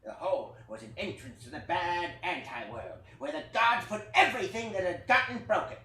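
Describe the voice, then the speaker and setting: A man acting as a storyteller in a play reading, telling a mock-epic fable with dramatic pauses and wry asides. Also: high voice, animated, pushed and bright. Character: lively puppet-show storyteller spinning a mock-epic creation fable. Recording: roomy, distant mic, faint noise.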